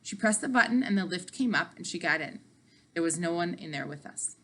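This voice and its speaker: Expressive woman reading a children's story aloud. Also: on the low side, a little fast. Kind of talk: expressive children's book narration.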